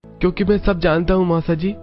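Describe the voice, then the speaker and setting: A man voicing a gentle, dutiful young Indian son, earnest and respectful, in a cartoon family drama. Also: high voice, steady tone, brisk, lo-fi sound, soft background music, natural voice. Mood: hurt, firm.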